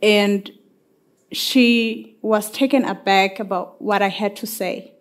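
A woman's voice: mid-range voice, steady tone, slow pace. A woman on a discussion panel, English not her first language, speaking reflectively about personal experience.

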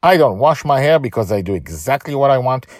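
Guy reading as proud little pirate girl in a story, bold and emphatic, pitched up, dry sound. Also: sing-song, a little fast, a little mellow, no room sound, close to an everyday voice. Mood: defiant. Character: defiant, loyal eight-year-old pirate girl.

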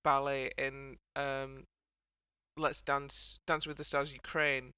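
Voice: medium pitch, flat delivery, takes it slowly, bright, forceful tone, smooth, dry recording. A podcast host chatting casually on a panel, with a wry, wordy, mock-formal way of putting things. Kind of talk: casual panel chat about a TV dance show.

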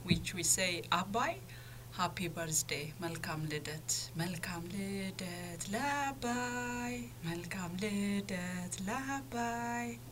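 A woman's voice: medium pitch, slow. A woman from Ethiopia giving a birthday wish to a river, with a chanted repeated phrase. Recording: clear background noise.

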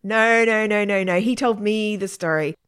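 A woman's voice: mid-range voice, normal speed, a bit bright, smooth, close to an everyday voice. A woman voicing a sassy, squeamish girl who likes to taunt and one-up in a children's story.

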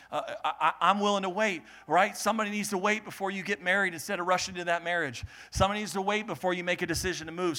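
A man preaching a sermon in a lively, joking way, swelling loud, then dropping to quiet teaching. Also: high voice. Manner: animated, jokey preaching with sudden loud swells and quiet stretches. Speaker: pastor.

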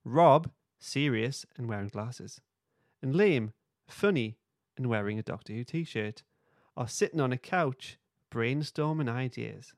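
Male podcast host, mid-pitched, playful narrator of a comic script, very dry close recording. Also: lively intonation, a little slow, no room sound. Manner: playful, breaks off to comment. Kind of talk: reading a comic script aloud.

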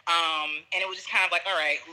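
A woman guest telling a travel story in casual chat, animated, acting out what people said. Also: fairly low pitch, fast talker, rough recording. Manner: animated storyteller, acts out quotes, laughs often.

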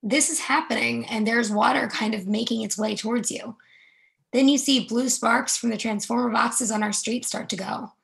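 Gravelly-voiced woman reading a monologue. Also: a little high, fairly even intonation, normal speed, a bit bright, poor audio. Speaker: reader performing a monologue. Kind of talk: readers theatre monologue.

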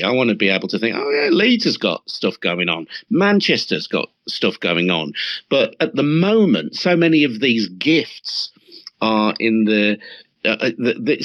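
An English man hosts a lively discussion, his pitch swinging widely as he rushes through his points and trips over restarts. His voice is dry and close, but the sound is a little dull. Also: no echo. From England. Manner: lively, quick bursts with stumbling restarts and laughs. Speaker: radio phone-in host. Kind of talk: animated discussion hosting.

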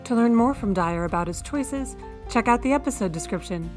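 A woman's voice: fairly high pitch, animated, brisk, darkish tone. A woman announcer delivering a scripted introduction for a reading series. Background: music.

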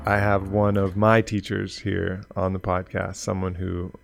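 A man hosting a podcast in a conversational interview style, with a gravelly voice. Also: low voice, monotone, unhurried, dry recording. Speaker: podcast host.

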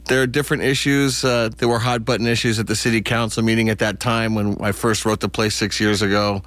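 A man's voice: on the low side, level tone, a little fast, a bit bright, no echo. A man with an American accent talking casually as an interview guest about his work. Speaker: playwright and theater founder, interview guest.

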